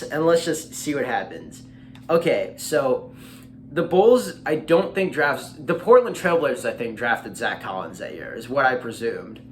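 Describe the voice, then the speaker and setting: A man's voice: medium pitch, fairly bright tone, slight background noise. A young American man in his late teens chatting casually as a vlogger, in a rambling, hesitant way that often circles back on itself. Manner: hesitant, rambling, repeats himself. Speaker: vlogger and streamer. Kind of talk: casual chat to camera, reading and reacting to a letter.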